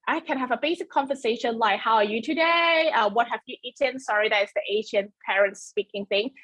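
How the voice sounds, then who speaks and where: Woman, Cantonese native, webinar host, chatty, self-deprecating, many fillers, fairly high voice, computer mic. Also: a bit bright, low-quality recording. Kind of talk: presenting a webinar.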